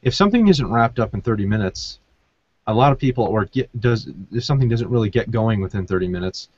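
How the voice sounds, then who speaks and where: Lowish male podcast host, dry sound. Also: expressive, quick pace, darkish tone, no room sound, lo-fi sound. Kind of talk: casual chat.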